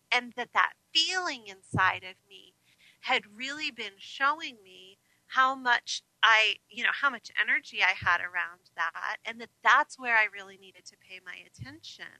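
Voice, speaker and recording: An American woman talks conversationally as a guest on a radio show. Her voice is strikingly bright and somewhat high, with a dry, close sound. She is friendly and reflective as she explains things. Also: no echo, rough recording. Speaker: radio guest, life coach. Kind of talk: conversational interview answers.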